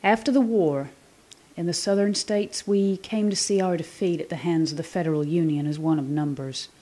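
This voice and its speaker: A woman's voice: fairly low pitch, normal speed, dark tone, natural voice. A woman playing a sombre nineteenth-century Southern woman, recounting the past in a scripted dramatic monologue. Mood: sombre, reflective.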